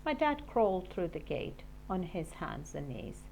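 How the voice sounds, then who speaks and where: Lady teacher reading a kids book out loud, very expressive and up and down, bit of background noise. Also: lowish voice, unhurried, vocal fry, low-quality recording. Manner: animated, dramatic read-aloud for children. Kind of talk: expressive storybook narration.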